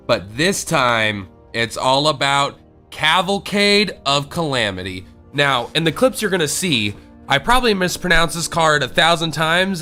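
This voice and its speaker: A man with a bright, forward voice, stuffy and congested as if getting over a cold. He rambles casually through a game deck, full of fillers and restarts. Faint music plays underneath. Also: on the high side. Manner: rambling, many fillers and self-corrections. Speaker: game streamer.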